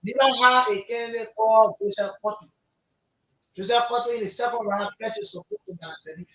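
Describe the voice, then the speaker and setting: A man's voice: high voice, steady tone, fairly quick, bright, forceful tone, echoey room, slight background noise, poor audio. A man from Liberia, a guest who argues politics insistently on a radio talk show and repeats himself to keep the floor. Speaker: studio guest, party executive. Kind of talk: heated political debate.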